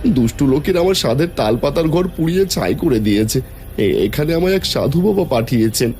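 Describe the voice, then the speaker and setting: Man playing a pleading, animated poor farmer. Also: medium pitch, a little fast, close to an everyday voice. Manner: pleading, anguished. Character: distressed, humble, honest poor village farmer man. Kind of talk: animated fairy tale melodrama.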